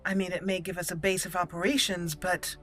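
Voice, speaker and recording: A woman voicing a haughty, formal female elf mage in a role-played fantasy video game, with measured speech. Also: on the low side, level tone, fairly bright tone, natural voice. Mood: curious, formal. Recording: game music clearly behind the voice.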